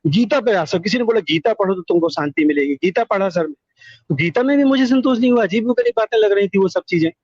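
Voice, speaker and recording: A man's voice: sits high, lively intonation, quick pace, darkish tone, no room sound. A middle-aged man giving personal testimony in conversation over a phone call, earnest and wordy, repeating words for emphasis. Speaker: call-in guest telling their life story. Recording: dull lo-fi call recording.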